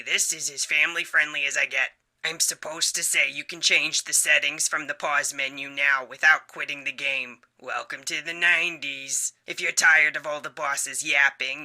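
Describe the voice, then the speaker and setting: A jaded talking office appliance in a comedy video game. The voice is fairly high for a male and extremely bright and edgy, with grudging, deadpan announcements. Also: heavily acted. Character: jaded, grumpy male talking office machine, bored employee.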